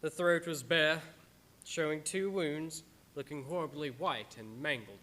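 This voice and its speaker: A man plays an earnest, devoted doctor in a radio horror drama. His voice is a little high for a man. He delivers his account with solemn, dramatic weight. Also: unhurried, bright, forceful tone. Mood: solemn, haunted. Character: earnest, devoted, anguished male doctor narrating his journal.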